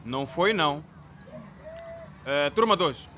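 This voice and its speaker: A male actor playing a brisk, strict school director with a formal, commanding manner in a radio drama. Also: a little high, animated, bright, forceful tone, slight background noise, heavily acted. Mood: brisk, official.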